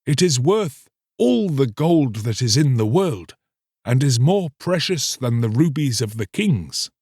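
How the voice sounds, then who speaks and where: A man's voice: medium pitch, very animated, measured pace, a little mellow, husky, no echo, studio quality, voice pushed up, exaggerated voice. A man voicing an alarmed, solemn priest in a storybook, exhorting in grave, fatherly, archaic speech. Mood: solemn, admonishing.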